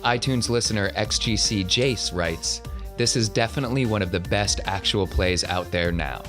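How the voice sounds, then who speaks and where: A man's voice: lowish voice, moderate pace, fairly bright tone, faint music behind. A man hosting a tabletop roleplaying podcast as game master, in an encouraging, conversational way.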